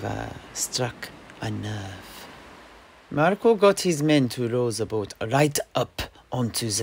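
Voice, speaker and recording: A man telling a tale in character for a fantasy audio play, in dramatic, measured phrases. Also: medium pitch, gravelly, slight background noise. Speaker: host, storyteller.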